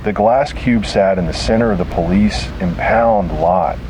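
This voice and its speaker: A man's voice: sits low, measured pace, noisy background. A man narrating a scripted first-person detective horror story.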